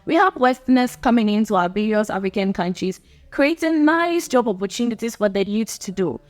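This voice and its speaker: A woman's voice: medium pitch, expressive, brisk. A young Ghanaian woman with a Ghanaian accent, hosting her own channel and talking earnestly and unscripted straight to camera, often repeating herself and laughing between points. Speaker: channel host.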